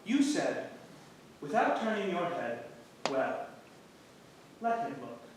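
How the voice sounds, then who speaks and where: A man acting a devoted, gently playful husband from early modern England in a staged play reading, earnest and tender in delivery. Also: high-pitched, sing-song, slow, full character voice. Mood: fond, nostalgic. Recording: roomy theatre, distant mic, faint noise.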